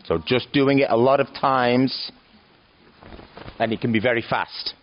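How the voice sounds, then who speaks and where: A man's voice: medium pitch, fairly even intonation, measured pace, slight background noise. A man, a stage actor, giving short, simple answers to audience questions. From Manchester, England. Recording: dull lo-fi sound.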